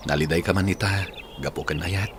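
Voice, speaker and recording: A man's voice: deep voice, steady tone, fairly quick, background noise, lightly acted. A man playing a sorrowful, reflective Filipino villager with an Ilocano accent in a radio drama. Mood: wistful.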